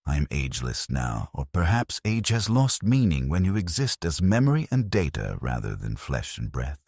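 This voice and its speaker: Very deep, solemn male narrator. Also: very animated, a little mellow, husky, dry recording, clean studio sound. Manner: solemn, grave, measured, deliberate phrasing. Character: bleak, resigned ghost of a condemned legionnaire. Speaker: story narrator. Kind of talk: scripted first-person dark sci-fi narration, dramatic monologue.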